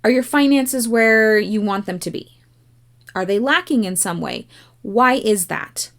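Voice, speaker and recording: A woman giving a tarot message in a measured, coaxing way, asking the listener a string of reflective questions. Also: medium pitch, animated, creaky, a bit bright. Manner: measured, coaxing, repeats pleas. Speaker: tarot reader. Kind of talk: slow, gentle reading with rhetorical questions.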